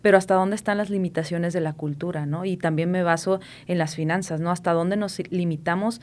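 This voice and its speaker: A youngish woman, chatting in an animated, informal way on a podcast. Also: lowish voice, brisk. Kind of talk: casual podcast chat. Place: small padded podcast studio. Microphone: studio mic on stand.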